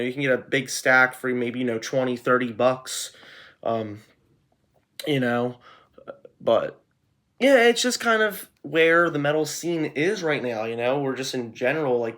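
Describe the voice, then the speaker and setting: A man hosts a video with loose, unscripted commentary. His voice sits at an average pitch for a man. He talks conversationally and tends to ramble from one thought to the next. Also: fairly bright tone. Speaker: music video host.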